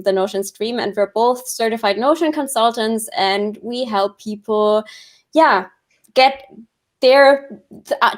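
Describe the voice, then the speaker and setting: A woman with a German accent hosting a livestream over a video call, enthusiastic and chatty, often repeating words and laughing. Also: fairly high pitch. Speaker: livestream host.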